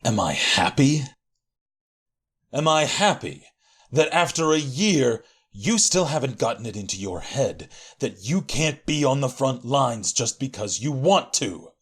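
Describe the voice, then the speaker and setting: A man playing a stern, stubborn warrior mentor in an audio drama, gruff and clipped in manner. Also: medium pitch, big pitch swings, a little slow, fairly bright tone, heavily acted. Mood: angry scolding. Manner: gruff, clipped commands, scolding.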